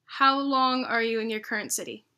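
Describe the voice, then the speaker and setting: Bright, higher-pitched woman interviewer. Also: moderate pace. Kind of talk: reading prepared interview questions.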